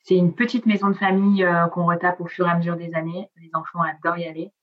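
A woman's voice: on the low side, flat delivery, fast, dull audio. A middle-aged woman from southern France, with Italian as her first language, chatting in an interview with many hesitations and trailing endings. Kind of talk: casual interview chat.

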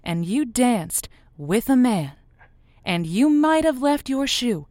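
A woman's voice: on the high side, sing-song, takes it slowly, darkish tone, dry recording, clean studio sound. A woman playing a wry late-night lonely hearts radio host in an audio drama. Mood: encouraging, assured. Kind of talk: semi-improvised audio drama, radio call-in show patter.

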